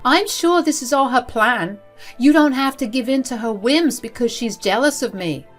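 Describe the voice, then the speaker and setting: A woman's voice: lowish voice, unhurried, a bit bright, soft background music. A woman voicing a haughty, entitled middle-aged mother-in-law in a melodramatic, accusatory way, as in a told story. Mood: wounded, pleading.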